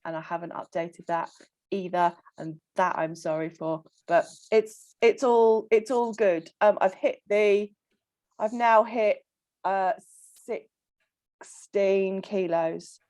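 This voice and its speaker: Slow, chatty woman with a croaky voice. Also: medium pitch, a little mellow, no echo. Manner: chatty, laughs often, many ums. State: croaky voice from illness. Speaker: coaching client. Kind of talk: casual group coaching call.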